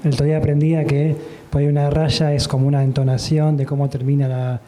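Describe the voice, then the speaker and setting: Dark-voiced Argentine man on a panel. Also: a little high, quick pace. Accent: Argentine Spanish. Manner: relaxed, hesitant with fillers. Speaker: panelist editor. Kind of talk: panel discussion answer. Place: book fair hall.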